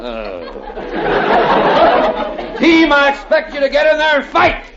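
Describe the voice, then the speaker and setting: An actor playing an excitable, anxious American college football coach in a vintage comedy. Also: male voice, very high-pitched, takes it slowly, heavily acted. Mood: anxious pep talk. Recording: muffled old broadcast with audience and hiss.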